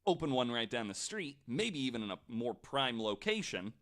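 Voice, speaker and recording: A man presenting a researched story on a podcast in a casual, halting way, with informal asides. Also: mid-range voice, very animated, a bit bright. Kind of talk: casual explanatory podcast talk.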